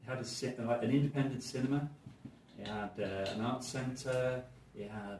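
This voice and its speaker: A middle-aged man with a Liverpool accent, a podcast guest telling his story in a relaxed, rambling, thoughtful way. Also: medium pitch, normal speed. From Merseyside, England. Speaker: podcast guest, arts director. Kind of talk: casual conversational storytelling. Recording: echoey room, mic at a distance, faint noise.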